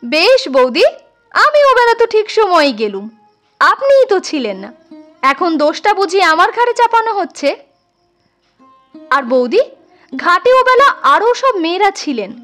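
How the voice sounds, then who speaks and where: A woman's voice: very high-pitched, sing-song, unhurried, pauses often, a bit bright, pitched above the performer's own voice, heavily acted. A woman voicing a polite, bashful, affectionate young schoolteacher in a storybook reading. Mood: bashful protest. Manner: polite, eager, slightly shy. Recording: music under the voice.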